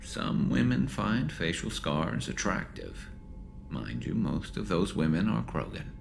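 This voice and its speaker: Super deep gravelly alien vigilante soldier, dry humor, loyal, video game style. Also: male voice, big pitch swings, unhurried, slight background noise, exaggerated voice. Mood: wry, pained. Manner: dry, wry, clipped. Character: battle-scarred male alien soldier, loyal, wry, vengeful. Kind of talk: video game dramatic acting.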